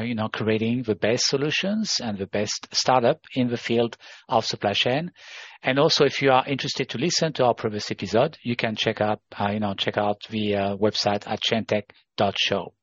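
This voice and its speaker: A man's voice: medium pitch, no room sound. Hesitant male interview host. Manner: hesitant, heavy on filler phrases. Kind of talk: podcast interview hosting. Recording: dull lo-fi sound.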